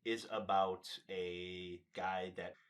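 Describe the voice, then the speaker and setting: A man with a fairly low voice talks in a casual, conversational style. He lays things out step by step, like someone retelling a story to a friend. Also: level tone, takes it slowly. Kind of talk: casual conversation about films and comics.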